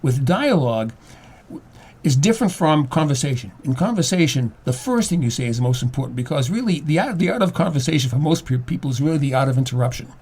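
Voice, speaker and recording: An older man speaking as a guest in a conversational interview, explaining things haltingly and repeating his words as he goes. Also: on the high side, animated, a little mellow, breathy edge. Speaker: guest playwright and acting teacher.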